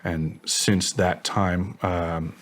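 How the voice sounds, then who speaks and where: Male interview guest, low-pitched, assertive and technical in his phrasing, laying out claims as testimony. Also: flat delivery, very slow, fairly bright tone. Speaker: interview guest, former contractor.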